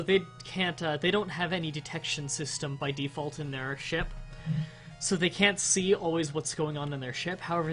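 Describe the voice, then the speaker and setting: A man's voice: a little high, a bit bright. A slightly sick young man with a nasal voice, casually explaining a game in a rambling stream commentary. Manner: rambling, explains game mechanics with fillers and restarts. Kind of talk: casual let's-play commentary.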